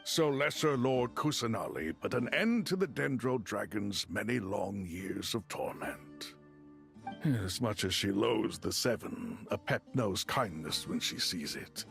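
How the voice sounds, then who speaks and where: Gravelly, solemn ancient god. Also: male voice, lowish voice, lively intonation, a bit bright, soft background music, exaggerated voice. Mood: wry, measured. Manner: formal, measured, ponderous. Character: solemn, learned male desert god loyal to his king. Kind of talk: video game voice acting.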